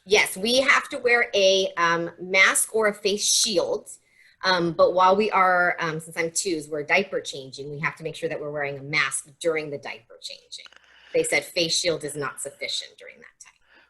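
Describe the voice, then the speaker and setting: A woman who teaches toddlers, answering interview questions in a lively, hesitant way with fillers. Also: fairly low pitch, normal speed, husky.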